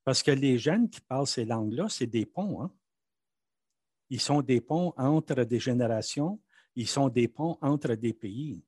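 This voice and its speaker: A Canadian French man speaks frankly and with emphasis, his voice a little husky. The sound is dry and close, with no room around it. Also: medium pitch, animated, a little slow, a little mellow, no echo. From Manitoba, Canada. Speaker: interviewee. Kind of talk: interview answers.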